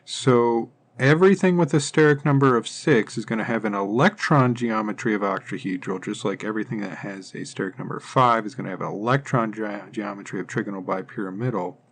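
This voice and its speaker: A man's voice: lowish voice, brisk, hardly any pauses. A man teaching chemistry in an informal, step-by-step lecture style, methodically thinking aloud as he works through things.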